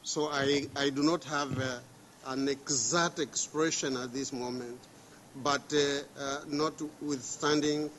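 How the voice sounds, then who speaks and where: Halting man, slow delegate. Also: mid-range voice, steady tone, a bit bright, slight background noise, low-quality recording. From Mozambique. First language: Portuguese. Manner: halting, many fillers and restarts. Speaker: diplomatic delegate. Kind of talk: formal conference statement.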